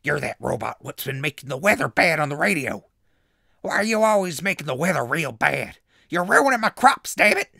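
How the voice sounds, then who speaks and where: An actor playing a hot-tempered backwoods hermit in a comedy audio drama, folksy and threatening, with a rural Southern US accent. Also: male voice, a little high, sing-song, moderate pace, vocal fry, sharp tone, no echo, studio quality, heavily acted. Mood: indignant. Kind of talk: post-apocalyptic comedy audio drama acting.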